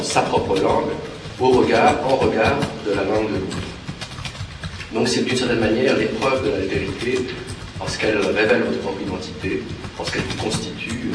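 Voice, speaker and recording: Male translator, hesitant and reflective, low voice, distant mic with music behind. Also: noisy background. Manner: hesitant, many fillers and restarts, thoughtful. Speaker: theatre translator. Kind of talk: reflective spoken talk. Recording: very echoey room, from far off, with music behind.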